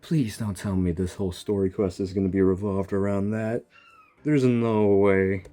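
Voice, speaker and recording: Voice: sits low, steady tone, normal speed, music in the background. A streamer giving casual, sarcastic commentary while reacting to a video game, talking back to the characters.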